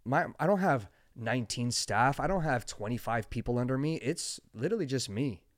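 A man's voice: medium pitch, lively intonation, brisk, no room sound, studio quality. A man in his twenties hosting a podcast, telling stories in an earnest, rambling way with many restarts. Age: 20s. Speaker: podcast host. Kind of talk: casual interview chat.

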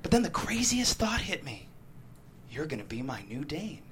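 An actor in a table read playing a cocky, playfully cruel drug dealer with taunting, slangy street talk. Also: male voice, on the high side, big pitch swings, normal speed, background noise. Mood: smug. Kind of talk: dramatic table read of a teen drama script.

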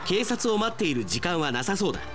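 A man's voice: high voice, very animated, fast talker, music in the background. A man reading dramatic scripted narration for a Japanese radio drama.

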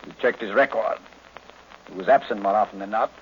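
An actor playing a large, imperious private detective in old radio drama, pompous, erudite and curt. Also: male voice, on the low side, a little fast, lightly acted. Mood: shrewd, probing. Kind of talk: 1940s-50s radio drama acting. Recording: noisy, muffled old radio recording.

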